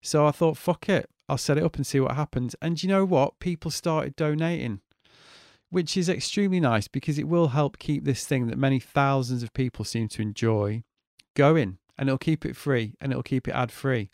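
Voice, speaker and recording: A man's voice: mid-range voice, moderate pace, darkish tone, dry recording, clean studio sound. Chatty man in his forties. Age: 40s. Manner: chatty, candid, swears freely. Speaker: podcast host. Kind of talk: casual solo podcast monologue.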